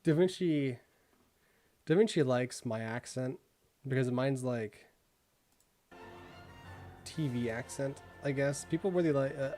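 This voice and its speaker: Young midwest guy rambling on stream, lots of likes and ums, mid pitch, faint music behind. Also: slow, long pauses, warm tone. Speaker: streamer reviewing viewer work. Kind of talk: casual live-stream chat.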